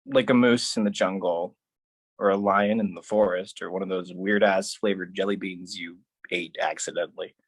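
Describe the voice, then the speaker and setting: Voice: steady tone, normal speed, breathy edge, dry recording. A solo performer delivering a dramatic spoken-word monologue in an intense, theatrical manner. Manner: theatrical, intense, swinging between low musing and raised outbursts.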